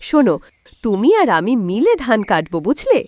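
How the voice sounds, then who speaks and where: Bossy village wife, shrewd and scolding, very lively swings for a cartoon. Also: female voice, a little high, normal speed, no echo. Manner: scolding, emphatic, theatrical. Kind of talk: cartoon moral-story voice acting.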